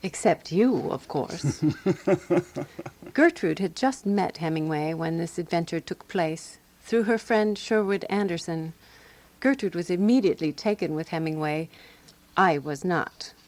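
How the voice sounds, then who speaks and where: Radio drama narrator: prim, watchful woman companion, played by an actress, lowish voice, dry and deliberate, quietly jealous. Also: lightly acted. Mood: dry, wry.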